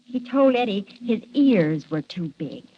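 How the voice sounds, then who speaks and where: A woman's voice: mid-range voice, very animated, unhurried, slight background noise. An actress playing an anxious young woman, earnest and worried, in old radio drama style. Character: anxious young woman pleading for her brother. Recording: dull old radio recording.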